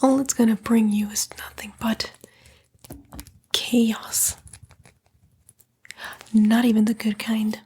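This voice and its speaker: A woman voicing a smug, seductive female demon, a fallen angel, in a breathy, coaxing ASMR roleplay whisper. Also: fairly high pitch, fairly even intonation, dark tone, slight background noise. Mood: coaxing, scornful. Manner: slow, coaxing, taunting, drawn-out whisper.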